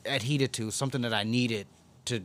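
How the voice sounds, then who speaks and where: A man's voice: medium pitch, fairly even intonation, brisk, a bit bright. A man chatting casually on a podcast, telling jokes and laughing often in loose slang. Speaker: comedian guest.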